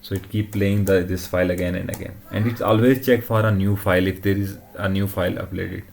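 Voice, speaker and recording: A man presenting an informal technical demo in a halting way, often checking in with short tag questions. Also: sits low, steady tone, gravelly, a little mellow. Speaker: tutorial presenter.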